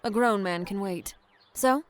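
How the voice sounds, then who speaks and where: A woman's voice: medium pitch, a little slow, slight background noise, natural voice. A woman playing a proud, witty, defiant accused woman of the seventeenth century in an audio drama, poised and formal. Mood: defiant, composed. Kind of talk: period audio drama acting.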